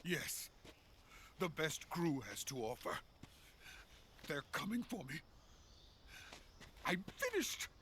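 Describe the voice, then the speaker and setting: An actor playing a frightened, pleading defector scientist in a dramatic video game. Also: male voice, on the high side, big pitch swings, slow pace, pauses often, a bit bright, slight background noise, heavily acted. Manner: agitated, pleading. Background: cinematic game music.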